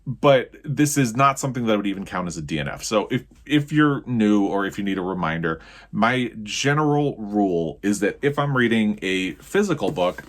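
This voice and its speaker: An American man talking casually as a book vlogger about his reading, rambling and often correcting himself as he goes. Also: on the low side.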